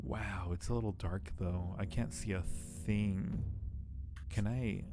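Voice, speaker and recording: Gravelly, slightly low-voiced male game streamer. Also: steady tone, takes it slowly. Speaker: livestream host. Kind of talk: casual game stream, reading text aloud and chatting. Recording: music behind the voice. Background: music.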